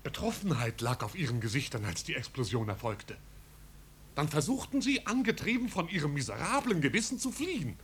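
An actor playing a pompous, dutiful servant of a marquis in a 1950s radio play, speaking in stilted, long-winded, ceremonious phrases. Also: male voice, a little high, very animated, brisk, fairly bright tone. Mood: indignant reporting. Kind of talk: 1950s German radio drama acting. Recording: 1950s radio recording with hiss.

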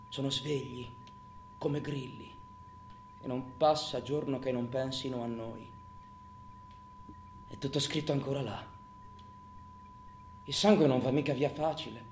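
An actor playing a menacing, taunting criminal who goads his old accomplice in a theatrical radio drama monologue, mocking and coaxing by turns. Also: mid-range voice. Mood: menacing, brooding. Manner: mocking, coaxing, swings between murmurs and outbursts. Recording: roomy echo, faint background noise.

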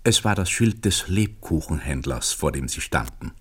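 An actor as the narrator of a satirical radio play, reading literary prose as a storyteller. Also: male voice, extremely low pitch, lively intonation, moderate pace, husky, full character voice. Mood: wry, storytelling. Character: wry male literary narrator of a satirical tale.

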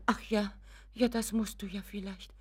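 A woman's voice: mid-range voice, fairly even intonation, normal speed, breathy edge, lightly acted. An actress playing an anxious, doting middle-aged mother in a radio drama, pleading and hesitant with her grown son. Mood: desperate pleading. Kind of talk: German radio drama acting.

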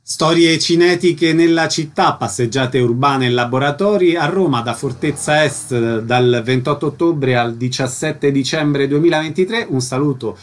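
A male radio host with a slightly high voice. He presents and interviews in concise, clipped announcements and short questions. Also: moderate pace, hardly any pauses.

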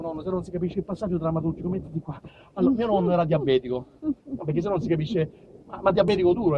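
A man's voice: a little high, noisy background. A man with a southern Italian accent performing a colloquial, theatrical autobiographical monologue with dramatic shifts of delivery. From southern Italy. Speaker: actor and storyteller. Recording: dull lo-fi stage recording, far from mic.

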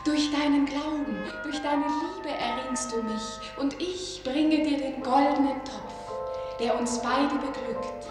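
Long reverb surrounds an enchanting young serpent maiden in a radio fairy tale. Her high voice moves very slowly, tender and solemn like an incantation. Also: steady tone. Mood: tender, beckoning. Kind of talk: German radio play acting, fairy-tale storytelling. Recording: long reverberant echo, music behind.

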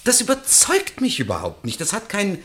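Ein Schauspieler spielt in einem Hörspiel einen empörten, theatralisch leidenden Filmkomponisten, der nachdrücklich protestiert und klagt. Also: male voice, high-pitched, wildly swooping pitch, normal speed, fairly bright tone, heavily acted. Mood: indignant. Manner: emphatic, lamenting, protesting. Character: indignant, theatrically suffering film composer. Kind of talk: radio play dialogue.

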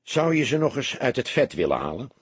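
A man playing a seasoned police detective in an audiobook, serious and careful, speaking in a measured, earnest way. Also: medium pitch, very animated, breathy edge, no room sound. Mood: grave, resolute.

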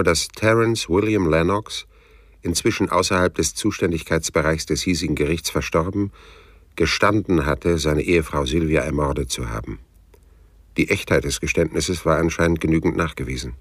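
An actor playing a dry, unimpressed private detective, laconic and deadpan, with a gravelly voice in a hardboiled radio drama. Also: male voice, sits low, dry recording. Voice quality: gravelly, slightly husky.

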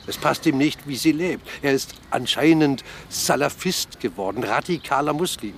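A worried boyfriend played by a male actor in a radio drama. He speaks at a middle pitch in a casual, confiding and slightly evasive way, over faint background ambience. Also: animated, moderate pace, a little hiss or hum, natural voice. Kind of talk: radio play dialogue.

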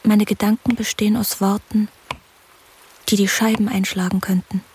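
The inner voice of a sorrowful young daughter spoken by a woman in a radio play. It is medium in pitch, intimate and introspective, with poetic phrasing. A faint hiss of rain sits behind it. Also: level tone, normal speed, a little mellow, natural voice. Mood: troubled, inward. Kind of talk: radio drama monologue.

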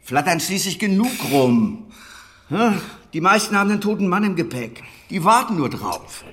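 A man's voice: high voice, sing-song, normal speed, fairly bright tone. Earthy, fatherly older dairy farmer. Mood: jovial, urging. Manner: earthy, colloquial, wry, chuckling asides. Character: gruff, hearty older dairy master, fatherly to his former apprentice. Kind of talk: naturalistic German radio drama acting.

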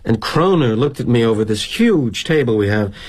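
A middle-aged corporate executive in a theatrical monologue, self-justifying and darkly wry. His voice is rough-edged and husky. The pitch moves in lively swoops through arch, mannered phrases. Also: normal speed. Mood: rueful, confiding. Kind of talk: dramatic monologue, theatrical storytelling.

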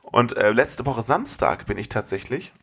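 A man's voice: mid-range voice, expressive, moderate pace, creaky, fairly bright tone, slightly rough. A man chatting casually as a podcast co-host, enthusiastic, full of slang and swearing. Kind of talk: casual chat.

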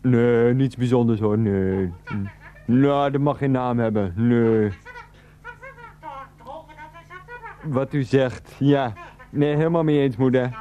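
Man as an exasperated radio-drama husband, stammering, incredulous, placating, middling pitch. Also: unhurried, warm tone, highs cut off, close to an everyday voice. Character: exasperated, put-upon husband and dutiful son. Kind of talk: radio play dialogue.

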